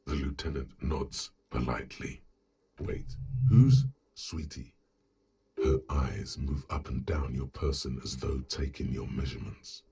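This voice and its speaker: A man voicing a detective's brooding inner thoughts in a video game, gravelly and dry, with music behind him. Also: very deep voice, a little slow, dark tone, heavily acted. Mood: dry, observing. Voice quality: gravelly, raspy. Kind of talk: dramatic game narration.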